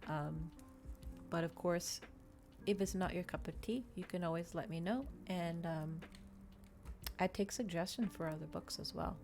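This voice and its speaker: A woman's voice: on the low side, measured pace, creaky, long pauses, darkish tone, faint music behind. A woman streamer doing an ASMR stream, reading a book and chatting casually to listeners. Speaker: VTuber streamer, reader.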